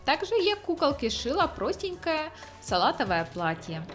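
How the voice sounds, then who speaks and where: A woman's voice: sits high, big pitch swings, normal speed, faint music behind. A woman hosting a craft tutorial, explaining each step in scripted narration. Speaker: craft channel host.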